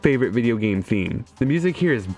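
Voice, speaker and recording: A man's voice: medium pitch, lively intonation, fast, darkish tone, faint music behind. A young man giving joking, irreverent video game commentary in a sarcastic, sweary way.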